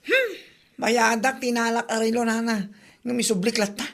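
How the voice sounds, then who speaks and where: A woman's voice: on the high side, steady tone, normal speed, gravelly. A woman playing a brash, gossiping friend, exclaiming with reproach in a comedy radio drama. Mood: indignant.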